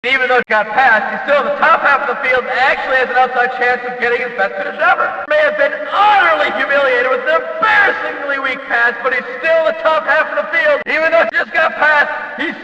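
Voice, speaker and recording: A man playing an excitable, showy race announcer in a video game, calling the action with emphatic, stretched-out delivery. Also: extremely high pitch, fast, few pauses, heavily acted. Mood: excited, hyped. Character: excitable, booming male demolition-derby race announcer. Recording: roomy echoing reverb, distant from mic.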